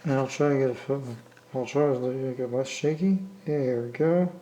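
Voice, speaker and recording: Man, American accent, mid-range pitch, casual hobbyist vlog, rambling, halting, repetitive phrasing. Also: fairly even intonation, dark tone. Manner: rambling, halting, repeats himself. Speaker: hobbyist video maker. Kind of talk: casual unscripted vlog talk. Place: indoor room, talking from behind the camera.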